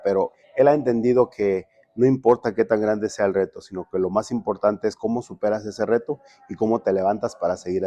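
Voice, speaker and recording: A man's voice: lowish voice, warm tone, no room sound. A man from Mexico hosting a podcast interview, friendly and full of questions, often restarting his words. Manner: friendly, asks many questions, repeats words when restarting. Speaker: podcast host. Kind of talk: casual interview.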